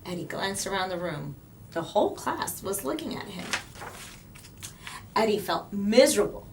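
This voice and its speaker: A woman narrating a children's picture book expressively, with dramatic pauses and emphasis. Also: mid-range voice, sing-song, background noise. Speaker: storyteller.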